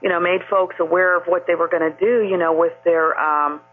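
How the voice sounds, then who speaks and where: A woman's voice: lowish voice, steady tone, a little fast. A woman calling in to a talk radio show, rambling and indignant with many fillers. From Maine. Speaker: caller. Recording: phone line, husky.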